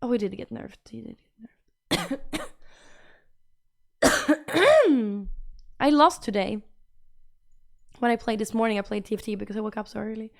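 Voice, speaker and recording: A woman's voice: on the high side, lively intonation, unhurried, vocal fry, pauses often. A young woman with a Swedish accent, a streamer chatting casually and reading out her chat, bubbly and repetitive. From Sweden.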